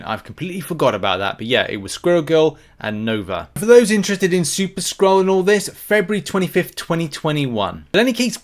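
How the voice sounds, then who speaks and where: A man hosting a gaming channel, giving casual, conversational commentary to viewers with emphatic openings to his phrases. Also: medium pitch. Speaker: video host and gaming content creator.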